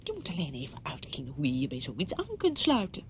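A brisk, condescending woman in a radio drama. Her voice is lowish and swings widely as she fusses and chatters. The old recording is dull, with clear low rumble. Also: wildly swooping pitch. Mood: brisk, chatty. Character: bossy, brisk, patronising woman visiting a widowed friend. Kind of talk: Dutch radio play acting.

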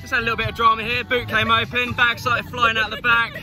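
A man's voice: very high voice, monotone, music in the background, a crowd in the background. A man in his twenties joking casually on a road trip, filmed on a phone held at arm's length outdoors. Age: 20s. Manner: joking, quick, self-deprecating. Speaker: co-host, travel companion. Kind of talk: casual travel vlog chat. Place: outdoors on beaches and in a van.

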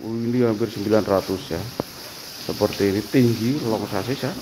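A man's voice: lowish voice, fairly quick, noisy background. Chatty man touring a cattle barn. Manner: chatty, asks short questions and repeats answers back. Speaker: vlogger touring a cattle farm. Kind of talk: casual walk-through vlog with interview. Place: outdoor open-sided cattle barn.

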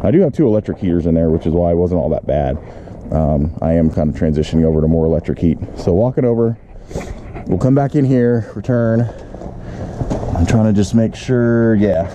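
A middle-aged man giving a casual, rambling how-to walk-through, thinking aloud into a handheld camera. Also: deep voice. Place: outdoors beside a camper, later inside it. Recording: muffled handheld camera audio with clear background noise.

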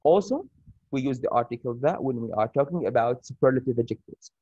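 A man with an Arabic accent teaching an English grammar lesson over a shared screen, pausing often with fillers and restarts. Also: mid-range voice, expressive, mellow, husky, dry recording, dull audio. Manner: halting, many fillers and restarts.

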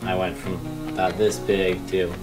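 A man's voice: low-pitched, level tone, measured pace. A young American man reflecting casually in an interview. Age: teens to young adult. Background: guitar music.

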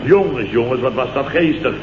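A man's voice: medium pitch, lively intonation, normal speed, dull audio, close to an everyday voice. Indignant Dutch male boss played by a man, mock-dramatic and clipped, vintage radio comedy, heavy hiss and hum in a roomy recording. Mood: mock-dignified. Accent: Dutch, from the Netherlands. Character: blustering, indignant male company boss telling tales. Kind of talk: 1960s-70s radio comedy acting.

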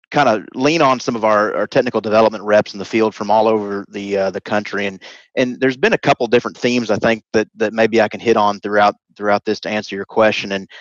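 A man's voice: on the low side, no echo. A man in his thirties answering interview questions over a headset video call, hesitant with many restarts. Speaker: guest expert. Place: home room, indoors. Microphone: headset mic, video call. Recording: dry, slightly dull video-call audio.

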